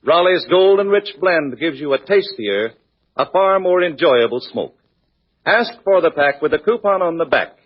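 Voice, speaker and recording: A man announcing a scripted 1940s radio commercial in a measured, salesmanlike manner. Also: a little high, expressive. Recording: muffled 1940s radio recording.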